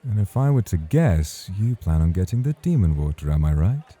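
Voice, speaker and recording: An extremely low, very dark and mellow male voice with a husky edge , acted by a man. He is a self-assured star nightclub host in a romantic ASMR roleplay, courtly and playfully provocative with the listener. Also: big pitch swings, moderate pace, heavily acted. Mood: teasing, probing.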